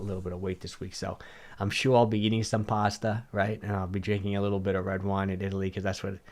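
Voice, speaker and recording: A middle-aged man hosting a livestream, a little hoarse from a cold, answering viewer questions in a rambling, casual way and often repeating himself. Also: fairly low pitch. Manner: rambling, repeats words, restarts sentences.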